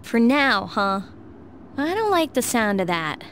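High-pitched wary schoolgirl. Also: very animated, measured pace, fairly bright tone, a little hiss or hum, full character voice. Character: wary, outspoken teenage schoolgirl. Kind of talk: anime video game dub acting.

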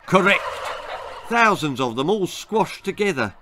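Storybook drill-sergeant turkey ghost: high, loud, swooping bark, menacing and scornful. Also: male voice, big pitch swings, a little slow, background noise. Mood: impatient, forceful. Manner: barking, sneering military commands. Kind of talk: storybook character voice.

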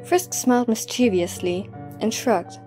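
A woman's voice: on the high side, unhurried, over music. A woman reading scripted storytelling narration as the narrator of an audio drama.

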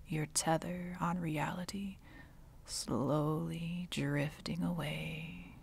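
A woman playing a centuries-old villainess witch in an ASMR audio roleplay, wry and bitter with a reluctant tenderness, often slipping toward a breathy whisper. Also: low-pitched, full character voice. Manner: slow, sardonic, dropping often into breathy whispers. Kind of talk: ASMR dramatic roleplay monologue.

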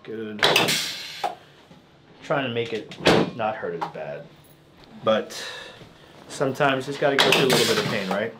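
A man's voice: on the low side, a little slow, pauses often, very noisy. A man in his thirties working as a chiropractor, giving short casual instructions and reassuring check-ins to a patient in a small treatment room. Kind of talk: casual hands-on talk with a patient.

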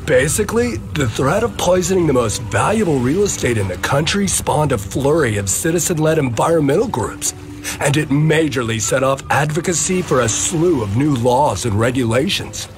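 A man's voice: on the high side, expressive, normal speed, natural voice. A man narrating a scripted comic-book history in an expository, emphatic, dramatized way. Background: music.

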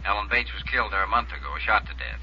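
An actor playing a harried, fretful American insurance man in a 1950s radio drama, brisk and businesslike with a rough edge. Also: fairly low pitch, animated, a little hiss or hum, close to an everyday voice. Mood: urgent, concerned. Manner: brisk, businesslike, wryly complaining. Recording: muffled 1950s radio recording.

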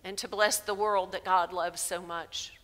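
Bright-voiced older woman preaching slowly. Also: fairly low pitch, fairly even intonation. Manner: measured, emphatic preaching with gestures. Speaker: pastor. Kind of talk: sermon. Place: large church sanctuary, indoors. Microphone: headset mic. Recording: long reverb of a church hall.

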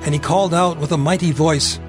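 A man's voice: fairly high pitch, steady tone, brisk, over music. A man narrating a dramatized audio Bible as a scripted reading.

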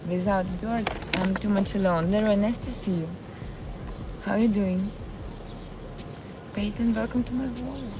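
A woman with a Ukrainian accent, live-streaming from a city park and chatting with her viewers in a halting way, often searching for words. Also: medium pitch, background noise. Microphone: phone.

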